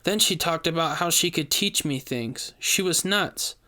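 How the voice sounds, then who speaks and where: A man's voice: fairly high pitch, a little slow, sharp tone, voice pushed up. A man voicing a bold, defiant woman, the hero's fiery companion in an audio story, urgent and feisty. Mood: nervous recounting.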